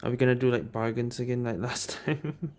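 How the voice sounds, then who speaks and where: A man's voice: mid-range voice, fairly even intonation, a little fast. A man giving casual let's play commentary as a gaming streamer. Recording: loud game music behind voice.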